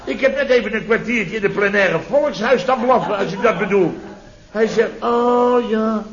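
A man's voice: high-pitched, moderate pace, echoey room, crowd noise, natural voice. A man playing a blustering, sarcastic middle-aged contractor boss in a vintage radio comedy, repeating himself indignantly. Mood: indignant storytelling. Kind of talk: 1970s Dutch radio comedy acting. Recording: dull vintage radio recording.

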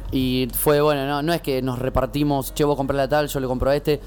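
Fast-talking Rioplatense man, radio banter. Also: mid-range voice, flat delivery, darkish tone, slight background noise. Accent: Rioplatense Spanish. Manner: talks in quick bursts with restarts. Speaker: radio host.

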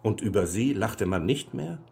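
A man's voice: lowish voice, lively intonation, moderate pace, gravelly, darkish tone. An actor playing an exasperated male interrogator in a radio drama, questioning in a pointed, confrontational way. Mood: pressing, exasperated.

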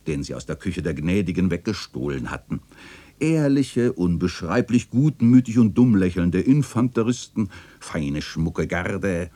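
A man acting a genial, bookish bachelor in a literary radio play, speaking in a courtly, formal and expressive way. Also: sits low. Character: genial bachelor neighbour, bookish storyteller of old Germany.

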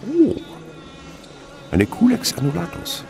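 Gravelly, animated older insect researcher. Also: male voice, high-pitched, slow pace, heavily acted. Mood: absorbed, explaining. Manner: scholarly, lyrical, patiently instructive. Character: ageing French insect researcher, uncle and mentor, dreamily enthusiastic. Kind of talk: German radio play acting.

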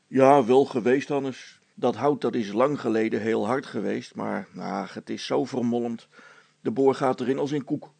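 Man playing storybook handyman dad, gravelly, husky, plain-spoken, hearty, cautious. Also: medium pitch, unhurried, darkish tone, dry recording. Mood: hearty, matter-of-fact. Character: practical, cautious middle-aged father and handyman.

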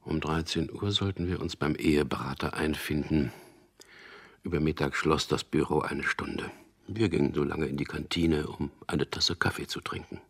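An actor playing a dry, guarded middle-aged official who narrates a radio drama in an understated, gravelly way. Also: male voice, rumbling low, normal speed. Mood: sober narrating. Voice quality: gravelly, husky. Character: Peter, dry, guarded propaganda official about to marry. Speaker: narrator and lead character.

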